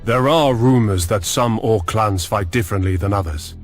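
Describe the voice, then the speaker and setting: An actor playing a resolute, dutiful space navy admiral in a video game, speaking formally and firmly. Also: male voice, on the low side, moderate pace, lightly acted. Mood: firm, measured. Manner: formal, firm military speech. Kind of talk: video game cutscene acting. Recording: music underneath.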